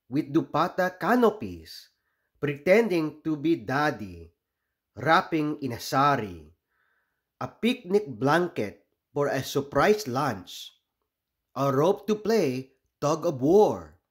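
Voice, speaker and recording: A man from the Philippines reading a children's picture book aloud expressively, pausing deliberately between short phrases. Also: a little high. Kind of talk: expressive storybook read-aloud.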